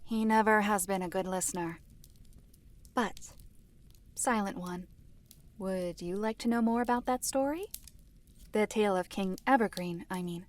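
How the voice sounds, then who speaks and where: A woman playing a reserved, composed female storyteller in a fantasy audio drama, speaking in a measured, formal, precise way with a dry, stern edge. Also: medium pitch, lightly acted. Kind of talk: fantasy visual novel audio drama acting.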